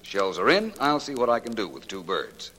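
An actor playing a witty, self-assured gentleman detective with an American accent and urbane, teasing phrasing, in 1940s radio drama style. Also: lowish voice, huge pitch swings, moderate pace. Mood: amused, teasing. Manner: urbane, flowery wording, teasing. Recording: noisy, clicking 1940s radio recording.

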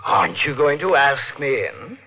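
An actor playing a sly, sardonic husband in a 1940s radio mystery, suave and deliberate in his mockery. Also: medium pitch, sing-song, slightly rough. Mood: smugly menacing. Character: sly, mocking husband returned from presumed death. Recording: muffled old radio recording.

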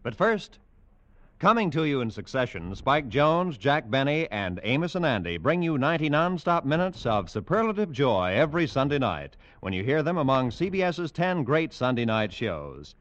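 A man announcing for a 1950s radio drama series, with a smooth, promotional delivery. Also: medium pitch, sing-song, moderate pace, a bit bright, dry recording. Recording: dull old radio recording, faint music.

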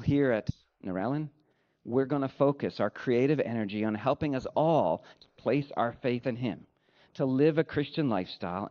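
Man preaching with animated, swinging pitch. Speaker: pastor. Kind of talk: sermon. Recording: dull, lo-fi sound.

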